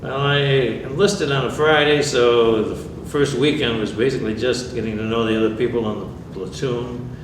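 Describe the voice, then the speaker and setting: An older man from New York State, a veteran answering questions in an oral history interview in a plain, measured way with dry humor. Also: medium pitch, fairly even intonation. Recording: echoey room, far mic, background noise.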